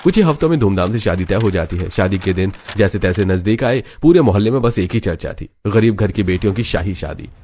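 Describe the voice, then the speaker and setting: A man's voice: lowish voice, lively intonation, a little fast, hardly any pauses, a little mellow, a little hiss or hum. A man narrating a scripted dramatic family story as a storyteller. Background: background music.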